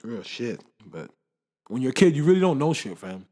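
A man's voice: mid-range voice, big pitch swings, normal speed, dark tone. A man in casual podcast chat, slangy and rambling, who laughs often. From Canada.

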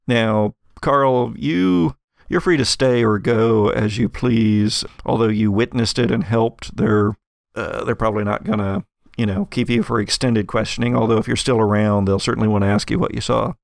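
A man narrating as game master, improvising casually in halting, informal descriptions. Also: lowish voice, gravelly, no room sound, clean studio sound.

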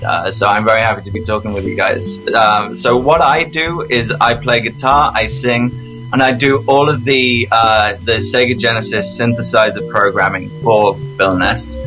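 A man with an English accent from Leicestershire, a guest musician telling stories in a relaxed podcast interview. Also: mid-range voice, low-quality recording. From England. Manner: chatty, storytelling, self-deprecating.

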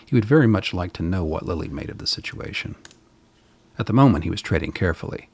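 A man narrating a scripted radio drama in a measured, literary manner, with a gravelly, husky voice. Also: deep voice, lively intonation, darkish tone.

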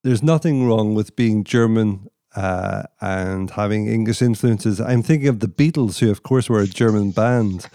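A man's voice: on the low side, normal speed, hardly any pauses, mellow, dry recording, studio quality. A man hosting a podcast, interviewing a guest with casual, joking questions. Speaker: podcast host.

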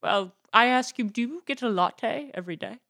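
A woman improvising a dignified, pompous English lord and diplomat in a comedy role-play, speaking haltingly in an English accent. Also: a little high, animated, fairly bright tone. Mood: condescending.

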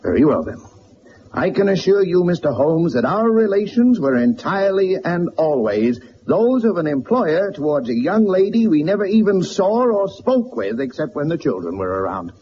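An actor playing a rich, blustering, domineering middle-aged tycoon in a radio drama, blunt and imperious. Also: male voice, high voice, sing-song, moderate pace. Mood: indignant, threatening. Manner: forceful wording, blunt, imperious. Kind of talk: mid-century radio drama acting. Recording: muffled old radio recording, faint hiss.

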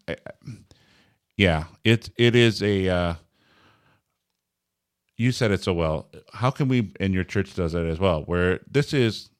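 A man hosting a casual religious podcast, talking in a halting way with many fillers and restarts. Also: low-pitched. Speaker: podcast host.

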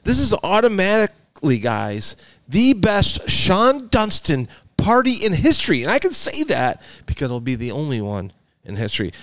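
A man's voice: high voice, wildly swooping pitch, normal speed, fairly bright tone, no room sound. A man in his forties hosting a podcast, rambling in casual chat with fillers and restarts. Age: 40s. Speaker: podcast host.

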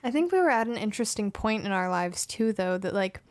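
A woman's voice: mid-range voice, very animated, a little fast, no echo. Female co-host, 20s, easygoing and reflective, dry sound. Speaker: podcast co-host. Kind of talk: casual podcast chat.

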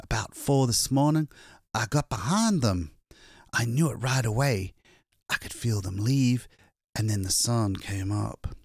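A man's voice: medium pitch, animated, measured pace, darkish tone, no room sound, studio quality, pitched above the performer's own voice. A man voicing a desperate, exhausted man who escaped a captured town, speaking breathless and urgent. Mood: frantic.

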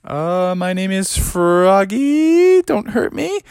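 Storybook hero: young frog adventurer, played by a man, eager but nervous, lifted pitch, very lively intonation. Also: normal speed, no room sound, studio quality. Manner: hesitant, earnest, animated.

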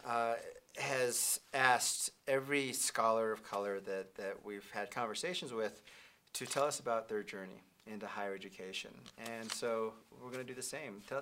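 A man hosting a podcast who introduces his guest formally and asks questions in an earnest, halting way. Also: medium pitch, sharp tone, a little hiss or hum. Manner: earnest, with hesitant fillers. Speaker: podcast host. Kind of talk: formal introduction, then chat.

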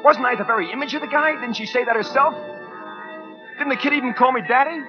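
A man's voice: medium pitch, expressive, fairly quick, lightly acted. An actor in a 1940s radio crime drama playing a cold, self-justifying American killer, brooding as he narrates and clipped when he talks. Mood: agitated self-justifying. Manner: brooding first-person narration with hesitant, clipped dialogue. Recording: muffled 1940s radio broadcast, faint music.

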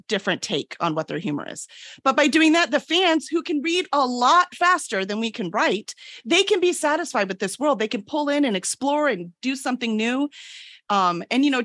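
A woman's voice: on the high side, fairly bright tone, dry recording. A woman guest in a casual interview, explaining things emphatically and often checking in with the listener. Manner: emphatic, peppers points with "right?". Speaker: podcast guest, book publisher.

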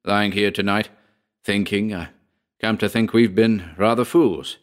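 An injured nobleman, performed by a man reading a tale, proud yet relenting. The voice sits on the low side, with a weary, measured earnestness suited to a storybook. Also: fairly even intonation, close to an everyday voice. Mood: weary, earnest.

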